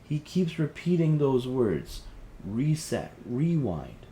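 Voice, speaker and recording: A man playing an agitated, conspiracy-minded husband in an audio drama, rambling, halting and searching for words. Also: medium pitch, big pitch swings, mellow, slight background noise. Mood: insistent, suspicious. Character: agitated conspiracy-minded husband piecing together a dystopian plot. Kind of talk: audio drama, conversational dialogue.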